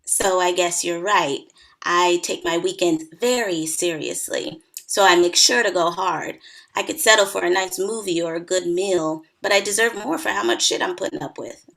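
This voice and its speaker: A woman's voice: lowish voice, normal speed, gravelly, a bit bright. A woman acting a sardonic, confident party-loving wedding guest in a screenplay table read. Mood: teasing, frank.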